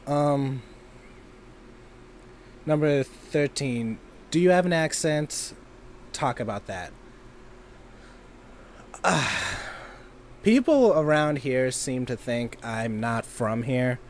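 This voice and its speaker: A man's voice: medium pitch, very animated, takes it slowly, pauses often, a little hiss or hum. A young man from Minnesota, an amateur voice actor, chatting casually in a vlog with lots of ums and loose, self-deprecating asides. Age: young adult. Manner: rambling, filler-heavy, self-deprecating.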